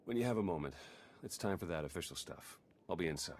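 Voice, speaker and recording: Easygoing male game operative. Low, husky voice, dry and understated, a little weary. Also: animated, quick pace. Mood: businesslike. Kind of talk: scripted game character dialogue.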